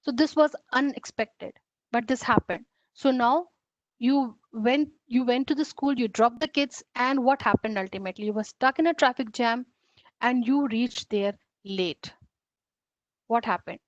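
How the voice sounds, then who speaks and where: A woman's voice: on the high side, unhurried, no room sound. A woman with an Indian English accent giving an online training lecture in an earnest, repetitive way with many fillers and restarts. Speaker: faculty development trainer. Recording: dull lo-fi, dry online call audio.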